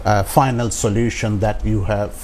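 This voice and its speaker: Low-voiced older Bangladeshi man, halting. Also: level tone, darkish tone, slight background noise. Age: 60s. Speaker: interview guest. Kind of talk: personal storytelling in an interview.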